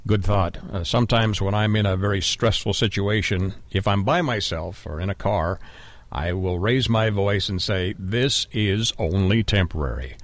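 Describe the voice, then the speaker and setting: Low-voiced man hosting an interview. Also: moderate pace, no echo. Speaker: radio show host.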